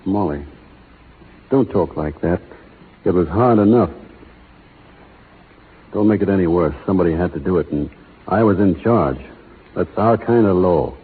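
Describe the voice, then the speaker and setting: An actor playing a laconic, steadfast cowboy hero with an in a radio drama. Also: male voice, sits low, expressive, moderate pace, long pauses, slightly rough. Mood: grave, firm. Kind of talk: 1940s radio western acting. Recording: muffled old radio broadcast.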